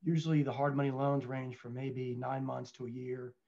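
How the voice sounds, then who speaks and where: A man's voice: mid-range voice, level tone, normal speed, gravelly, mellow. Dull-sounding man in his fifties, conversational. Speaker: show panelist, lender. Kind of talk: casual business discussion. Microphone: headset on video call. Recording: dull, lo-fi video call audio.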